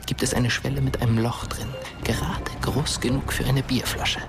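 An actor playing a brooding dead teenage boy who narrates a radio drama in a measured, hushed way over music. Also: medium pitch, little pitch movement, natural voice. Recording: music bed behind the voice. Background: loud atmospheric music.

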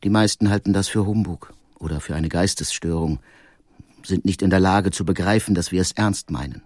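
An actor playing a brooding, sensitive painter who reads from his diary in an earnest, introspective and deliberate way. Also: deep voice, darkish tone, dry recording. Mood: earnest, insistent. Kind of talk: dramatic first-person diary reading.

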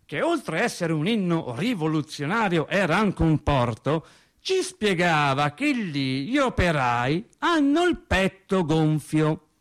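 An actor playing a working-class man telling wry, naive childhood memories in a theatrical radio monologue, with dramatic pauses. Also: fairly high pitch. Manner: animated storyteller, dramatic pauses and emphatic swings. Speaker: stage actor.

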